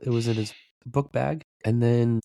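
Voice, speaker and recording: A man's voice: mid-range voice, moderate pace, gravelly, no room sound. A man hosting a podcast in a casual chat, his voice worn from losing it for a week. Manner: relaxed, chatty, laughs easily. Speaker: podcast host.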